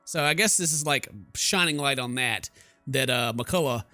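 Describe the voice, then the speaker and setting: A man's voice: medium pitch, moderate pace, bright, forceful tone, dry recording. A man hosting a game playthrough, reading story text aloud and adding joking, playful asides. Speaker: gaming video host. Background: game music.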